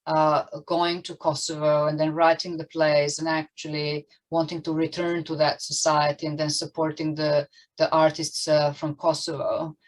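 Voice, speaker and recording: A woman moderating an online panel discussion, with hesitant, halting phrasing as she frames questions. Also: sits low, level tone, low-quality recording.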